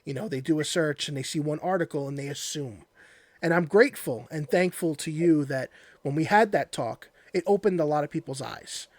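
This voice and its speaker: Man, podcast host, slightly high voice, casual interview chat, earnest, dry sound. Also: fairly quick, no room sound.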